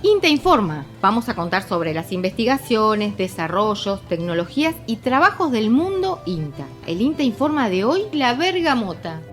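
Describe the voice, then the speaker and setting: Lively Argentine woman co-hosting radio. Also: on the high side, normal speed, few pauses, over loud music. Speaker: radio co-host. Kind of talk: presenting a radio magazine with friendly banter.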